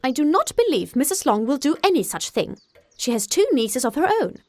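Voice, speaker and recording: Woman acting a shrill, excitable middle-aged mother. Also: fairly quick, no echo. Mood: resentful, scolding. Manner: fretful, effusive, dramatic. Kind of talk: audiobook character acting.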